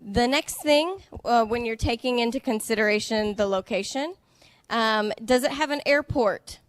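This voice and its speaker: Clear, patient woman giving a talk. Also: fairly high pitch, normal speed, no room sound. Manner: clear, patient, checks understanding. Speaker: international student services director. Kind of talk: slow, simple presentation for English learners.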